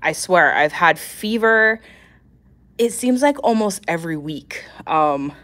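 A woman's voice: fairly low pitch, expressive, slow pace, a bit bright. Congested, scratchy-voiced woman hosting a livestream. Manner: chatty, clears throat often. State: congested, nasal from a cold. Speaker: nail art livestream host. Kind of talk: casual livestream chat.

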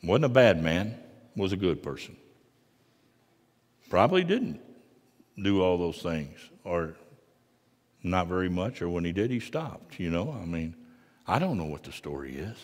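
A middle-aged man preaching a sermon in a large church sanctuary, moving from quiet asides to loud, fervent passages. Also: deep voice, sing-song, unhurried, long pauses, long reverb tail. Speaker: pastor.

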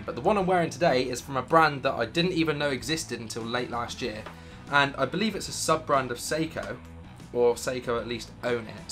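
A British man in his thirties with a croaky voice from illness, chatting enthusiastically about his gear to camera in a home studio. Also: medium pitch, sharp tone. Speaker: vlogger. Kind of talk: casual gear review to camera. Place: dim home studio room, indoors.

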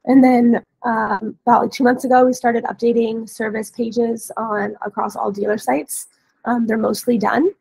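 A woman in her thirties, hoarse and scratchy from a cold, giving a casual software training webinar over a headset. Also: a little high, little pitch movement, moderate pace, no room sound. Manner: casual, explanatory. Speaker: webinar trainer, dealer support staff. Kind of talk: informal software training walkthrough. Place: indoor room, on a video call.

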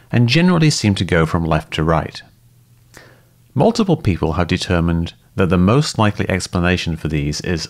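A man giving calm, methodical scripted narration that explains things step by step. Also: low voice, gravelly. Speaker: host and debunker.

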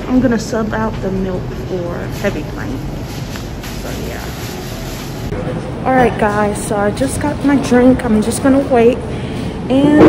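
A teenage girl vlogging casually, with a slightly raspy voice and a chatty, bubbly manner as she talks to her viewers. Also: fairly high pitch, very noisy. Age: teens. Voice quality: raspy in the morning. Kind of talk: casual vlog chat. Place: city street, then a coffee shop, then home. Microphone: phone held at arm's length.

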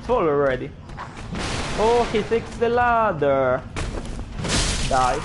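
A man's voice: fairly high pitch, big pitch swings, slow pace, noisy background. A young man with an Italian accent, a non-native English speaker, chatting as he streams a game and reacting aloud to it. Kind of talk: gameplay commentary.

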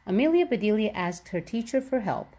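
A woman's voice: medium pitch, lively intonation, brisk, dark tone. A woman reading a children's picture book aloud, expressively and with careful enunciation for young listeners. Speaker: read-aloud storybook narrator.

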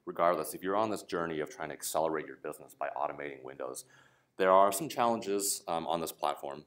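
A man's voice: extremely low pitch, fast talker, a bit bright. A man giving a casual, explanatory technical talk at a conference, often checking in with "right?". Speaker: conference speaker. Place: conference room.

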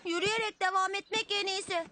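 Squeaky-high, feisty young bunny. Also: female voice, steady tone, quick pace. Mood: defiant. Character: plucky, feisty young rabbit sibling. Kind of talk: children's cartoon dub.

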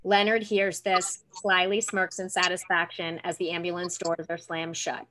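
Woman narrator for a table read, clear-cut bright tone, measured dramatic delivery, on a video call. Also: lowish voice, level tone, poor audio. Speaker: narrator reading stage directions. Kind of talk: scripted narration.

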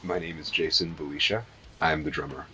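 A man's voice: extremely low pitch, steady tone, a little fast, pushed and bright, breathy edge, low-quality recording. A man from Atlanta, a drummer, chatting casually with lots of "like" and "um" in a music studio. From Atlanta, USA. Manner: talkative, filler-heavy, rambling. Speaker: band drummer. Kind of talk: casual interview talk.

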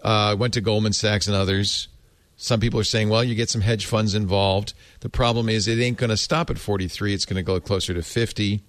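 A man hosting a casual panel podcast, thinking out loud in a playful, expressive way. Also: on the low side, fairly quick, dry recording, clean studio sound. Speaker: podcast host.

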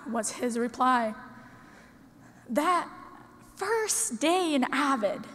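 A sixteen-year-old girl from Russia giving an earnest, prepared speech at a podium in a large conference hall, with deliberate dramatic pauses. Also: high-pitched, fairly bright tone. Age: teens. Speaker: student speaker. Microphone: podium microphone. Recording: long hall reverb.

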